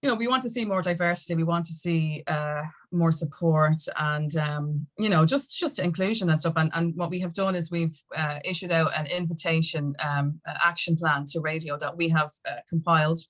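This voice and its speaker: Woman, Irish accent, low voice, halting delivery, rambling interview talk, frequent filler phrases. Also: fairly bright tone. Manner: rambling, halting, with many "you know"s. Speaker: podcast guest, activist. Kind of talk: conversational interview.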